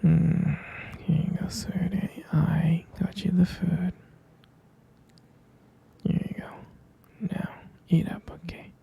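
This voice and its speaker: A performer playing a tender, doting partner at bedtime in an intimate ASMR roleplay, in a breathy, gravelly whisper with a halting, affectionate delivery. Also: medium pitch, steady tone, full character voice. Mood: caring, soothing. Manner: slow, hushed, halting, affectionate.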